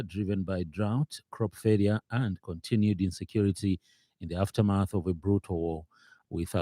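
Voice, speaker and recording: A man with a Zambian accent reading a scripted radio news bulletin in a formal, somewhat halting manner. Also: lowish voice, warm tone, dry recording. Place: radio studio.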